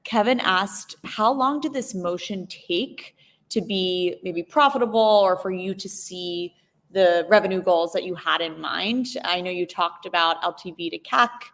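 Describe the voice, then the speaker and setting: A woman's voice: fairly low pitch, a little slow, fairly bright tone. A woman hosting a conversational business interview in an informal, enthusiastic way.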